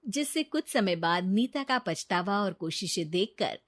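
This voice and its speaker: A woman's voice: medium pitch, lively intonation, unhurried. A woman narrating a scripted moral story as a storyteller.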